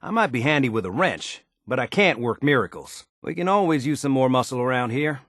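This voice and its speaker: Lively, folksy wasteland handyman. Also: medium pitch, fairly quick, dry recording, studio quality. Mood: modest, wry. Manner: folksy, colloquial, easygoing phrasing. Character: down-to-earth male wasteland handyman, folksy, modest, wry. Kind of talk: video game character dialogue.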